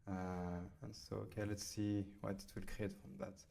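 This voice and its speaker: A man with a French accent, casually talking through a software tutorial at his computer, pausing often with fillers as he types. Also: fairly low pitch, level tone, creaky. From France. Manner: hesitant, many fillers while typing. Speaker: software demo host. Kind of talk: casual screen-recorded tutorial.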